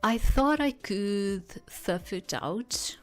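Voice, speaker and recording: Eager young female new hire, read by a woman, visual novel, apologetic, unhurried delivery. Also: mid-range voice, expressive, faint music behind. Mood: embarrassed, hesitant. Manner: hesitant, apologetic. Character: sheepish, eager young woman starting a waitressing job.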